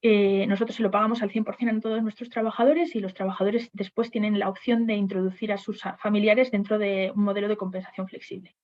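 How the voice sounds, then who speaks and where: A woman's voice: medium pitch, little pitch movement, fast, few pauses, highs cut off. A woman on a webinar panel discussing HR topics, speaking hesitantly with frequent restarts and fillers.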